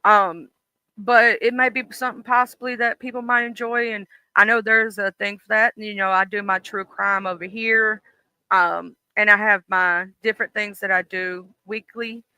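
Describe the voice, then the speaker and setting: Livestream host, horror story narration then casual chat, very bright sharp tone, dry close sound, loose and chatty with ums. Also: medium pitch, moderate pace, clear, resonant tone, dry recording. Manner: even, steady story narration, chatty with fillers and restarts.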